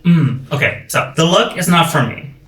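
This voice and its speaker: A man from Friesland whose first language is Frisian, co-hosting a casual review chat and critiquing looks in an opinionated, measured way. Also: a little high, animated, a bit bright, far from the mic. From Friesland, Netherlands. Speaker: podcast co-host. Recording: echoey room, away from mic.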